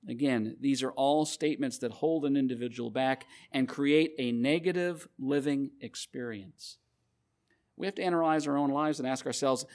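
A man preaching a sermon, earnestly repeating scripture references and stressing key phrases. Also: medium pitch.

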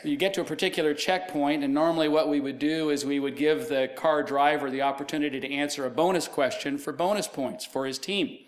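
Slightly high-voiced preacher, fading voice. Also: male voice, fairly even intonation, brisk, hardly any pauses. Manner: conversational storytelling with asides. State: losing his voice. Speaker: pastor. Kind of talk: sermon.

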